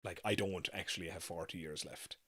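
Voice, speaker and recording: Male livestreamer, 30s: lowish, bright, forward voice, rambling and reflective, casual chat with emphatic repeats. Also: steady tone, fairly quick, husky. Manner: musing, rambling, emphatic repetition. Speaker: livestream podcast host.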